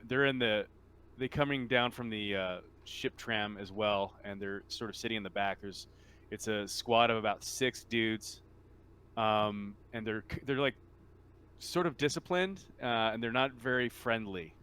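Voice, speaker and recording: A man's voice: lowish voice, a little slow, fairly bright tone, smooth. A man speaking casually and hesitantly as game master of a tabletop roleplaying session.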